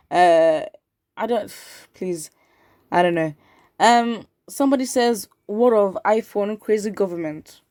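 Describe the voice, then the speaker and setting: A woman's voice: medium pitch, darkish tone, no echo, clean studio sound. A woman giving opinionated news commentary as host, exasperated, with emphatic repetition and rhetorical questions. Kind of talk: opinionated commentary, reading viewer comments aloud.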